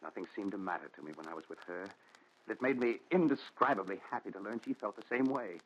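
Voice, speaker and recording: An actor playing a haunted, exasperated husband in a 1940s radio thriller, speaking in an earnest, brooding, melodramatic way. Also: male voice, on the low side, fast talker, a bit bright. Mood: wistful, brooding. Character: haunted, exasperated husband confessing to his doctor. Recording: dull, slightly noisy 1940s radio recording.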